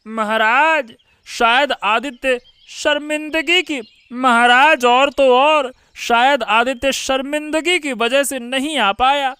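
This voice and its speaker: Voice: sits high, takes it slowly, pushed and bright, smooth, dry recording, full character voice. An actor voicing a mocking, scheming royal courtier in a cartoon story, sneering and theatrical. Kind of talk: Hindi cartoon story voice acting.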